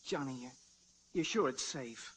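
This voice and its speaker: An actor playing a boyish, eager but nervous younger brother with an excitable, questioning manner in a 1940s radio drama. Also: male voice, medium pitch, a little slow, a little hiss or hum, poor audio. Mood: anxious, eager. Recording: vintage 1940s radio broadcast.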